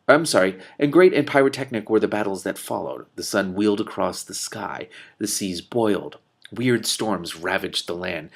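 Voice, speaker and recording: A man reading a book aloud expressively as host, breaking in with his own animated, off-the-cuff commentary. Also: on the low side, creaky.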